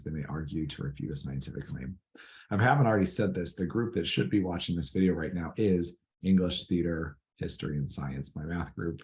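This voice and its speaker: A man with a raspy, hoarse voice giving a recorded lecture to student teachers, clearing his throat now and then. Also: low voice, a little mellow. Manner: clears throat often, measured explaining tone. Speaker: teacher educator.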